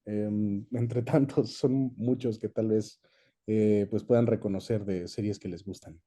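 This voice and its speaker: A man's voice: lowish voice, steady tone, unhurried, warm tone. A young Mexican man chatting easily as an interview guest, reminiscing about his work in a casual way. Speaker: guest, voice actor.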